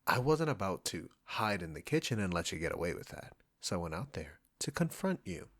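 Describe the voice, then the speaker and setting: A man's voice: deep voice, a bit bright, exaggerated voice. A man playing a proud, defensive, condescending restaurant chef in a scripted roleplay spoken straight to the listener. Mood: angry, defensive. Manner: condescending, combative, sarcastic, swearing.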